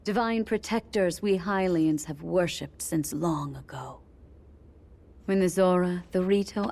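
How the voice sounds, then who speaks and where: A woman playing a composed, regal queen in a fantasy game, speaking in a measured, formal way. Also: mid-range voice, warm tone. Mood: composed, instructive. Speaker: video game character voice. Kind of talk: dramatic game cutscene acting.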